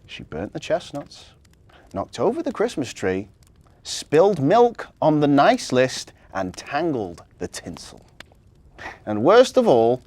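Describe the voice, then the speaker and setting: Male story reader: slightly high, breathy-husky voice, hugely varied intonation, children's storytelling. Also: no echo.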